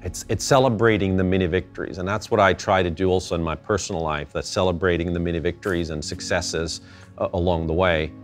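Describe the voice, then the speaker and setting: A middle-aged man from Finland, answering interview questions thoughtfully, with halting restarts. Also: deep voice, steady tone, soft background music. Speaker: interview guest. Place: indoor gallery space.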